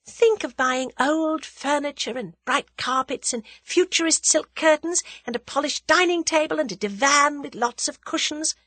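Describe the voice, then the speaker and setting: A woman's voice: high-pitched, big pitch swings, moderate pace, a bit bright, no room sound, voice pushed up. A woman voicing a spirited, teasing young woman in love in an audiobook, playful and eager. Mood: dreamy, bold. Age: young adult. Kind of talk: audiobook character dialogue.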